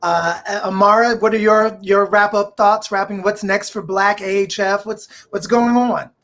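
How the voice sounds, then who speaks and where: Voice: mid-range voice, steady tone, moderate pace, a bit bright. A host in their forties chatting conversationally about an arts project, with a mid-range voice. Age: 40s. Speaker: host and director discussing a dramatic reading. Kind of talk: conversational fireside chat.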